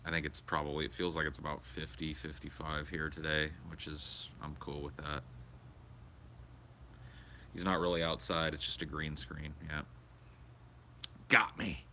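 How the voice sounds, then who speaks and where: A man's voice: a bass voice, little pitch movement, normal speed, pauses often, pushed and bright, slight background noise. A young man hosting a casual livestream, rambling crudely and answering his viewers' questions aloud on a portable camera. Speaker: livestream host. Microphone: portable camera or phone carried around.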